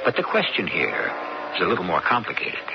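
An actor playing a grave, theatrical mystery host, narrating a radio drama in portentous, deliberate phrases. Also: male voice, deep voice, huge pitch swings, full character voice. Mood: grave, suspenseful. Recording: muffled old radio, loud music behind.

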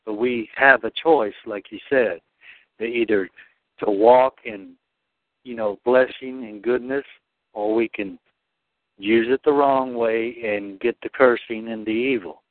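A man's voice: medium pitch, steady tone, dry recording. Earnest devout man on a phone line. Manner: earnest, devout, halting restarts. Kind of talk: spiritual discussion.

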